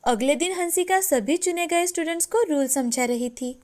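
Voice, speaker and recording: A woman's voice: high-pitched, normal speed, darkish tone. A woman narrator reading a scripted moral story for children.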